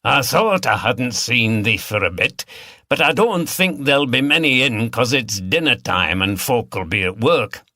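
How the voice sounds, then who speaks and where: A man voicing a gruff, plain-spoken old rustic Yorkshire hill farmer in an audiobook. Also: mid-range voice, animated, unhurried, bright, forceful tone, dry recording, studio quality. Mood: matter-of-fact. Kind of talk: audiobook character dialogue.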